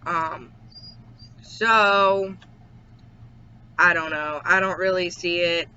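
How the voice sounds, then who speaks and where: A man chatting in a casual video diary at home, slow and hesitant with many ums, his throat a little irritated. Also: high voice, steady tone, sharp tone, clear, resonant tone. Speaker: vlogger. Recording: dull lo-fi with faint hiss.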